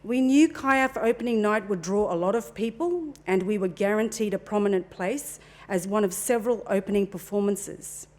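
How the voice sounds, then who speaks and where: A middle-aged woman talks through a conference presentation on a handheld mic in a meeting room. Her delivery is relaxed and chatty, full of small asides and fillers, at an ordinary middle pitch. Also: moderate pace, few pauses. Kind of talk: presenting a talk at a forum.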